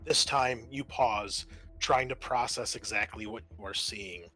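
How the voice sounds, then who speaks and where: Slow, bright-voiced male game master. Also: lowish voice, breathy edge, soft background music. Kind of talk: narrating a horror tabletop game, reading flavor text.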